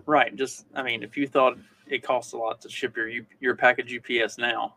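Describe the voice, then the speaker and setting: A man co-hosts a podcast in relaxed conversational style. His mid-pitched voice is bright and forward, with plenty of gravelly creak at the edges. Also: normal speed, slight background noise. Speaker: podcast co-host. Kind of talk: casual chat.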